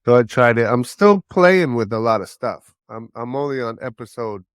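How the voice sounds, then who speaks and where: A man's voice: mid-range voice, lively intonation, normal speed, clear, resonant tone, dry recording, studio quality. A man chatting casually as a podcaster getting feedback on his work. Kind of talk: casual chat.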